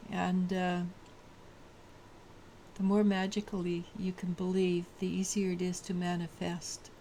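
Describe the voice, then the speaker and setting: Low-voiced lady doing a daily tarot reading, bit of fry, stops and restarts a lot, faint hiss behind. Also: level tone, mellow. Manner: halting, many restarts and fillers. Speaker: tarot reader.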